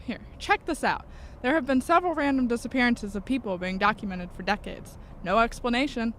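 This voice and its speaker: A woman's voice: a little high, fairly quick, a bit bright, slight background noise, lightly acted. A woman playing a stern, insistent young goth occultist in an audio drama, earnest and ominous.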